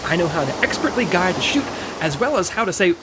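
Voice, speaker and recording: Dramatic grumbler agent, played by a woman: wildly varied delivery, deadpan to outburst, loud noise, comic radio play. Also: fairly low pitch, fast talker. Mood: indignant. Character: dramatic, grumbling, self-important secret agent. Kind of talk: scripted comedic audio drama acting.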